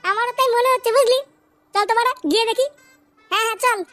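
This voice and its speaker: A brash little cartoon rascal. The voice is artificially raised to a shrill squeak and rattles along fast. He is crude and excitable, with light music underneath. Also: no echo. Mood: impatient. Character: foul-mouthed cartoon boy, loud, cheeky, excitable drinking pal. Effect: pitch-shifted up. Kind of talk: comic cartoon dubbing.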